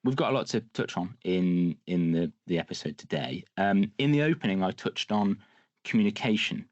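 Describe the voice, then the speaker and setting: A man's voice: deep voice, expressive, normal speed, darkish tone, dry recording, dull audio. A man hosting a podcast, welcoming his guest and asking questions with upbeat encouragement. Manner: enthusiastic, encouraging interviewer. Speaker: podcast host. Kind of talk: podcast interview.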